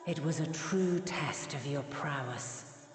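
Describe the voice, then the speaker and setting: A woman's voice: low voice, slow pace. A woman voicing an ancient, motherly goddess in a fantasy game, speaking in stately, archaic phrases. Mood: solemn, remorseful. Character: ancient benevolent goddess, solemn and motherly, weary. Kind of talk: dramatic fantasy game acting. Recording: reverberant hall, music underneath.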